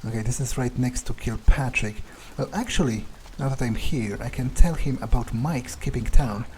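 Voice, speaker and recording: A man playing a video game in character, musing aloud in an understated, deadpan and slightly hesitant way. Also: mid-range voice, moderate pace, slight background noise, poor audio. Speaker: gamer playing a vampire in character.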